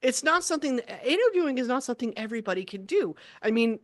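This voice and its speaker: A woman in her thirties chatting casually as an interviewer, in an animated, informal and emphatic way. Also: on the high side, fast, no echo, clean studio sound. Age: 30s. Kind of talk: casual chat.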